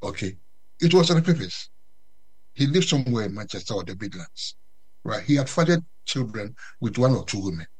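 Halting man from Ghana. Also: lowish voice, sing-song, husky. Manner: halting, many fillers and restarts. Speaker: guest commentator. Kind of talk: unscripted commentary. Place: UK resident.

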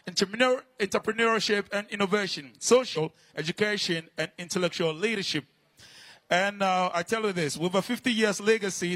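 A man hosting a live festival broadcast, rallying the crowd with energetic talk. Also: high-pitched, a little hiss or hum. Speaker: festival radio host.

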